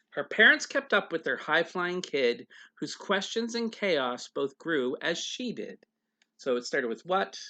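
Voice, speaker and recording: A man reading a picture book aloud like a teacher, emphatic and expressive, with casual explanatory asides for young listeners. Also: fairly high pitch.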